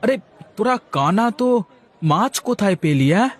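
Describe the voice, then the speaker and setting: A man's voice: sits high, sing-song, normal speed. A man voicing a brash, nosy market customer in a cartoon, blunt and full of exclamations. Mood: scornful, surprised. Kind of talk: cartoon voice acting.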